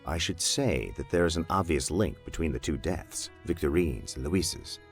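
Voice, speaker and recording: Deep, gravelly detective. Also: male voice, lively intonation, soft background music. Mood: earnest, resolute. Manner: formal, measured, earnest. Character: grave, formal male detective investigating deaths. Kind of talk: dramatic video game cutscene acting.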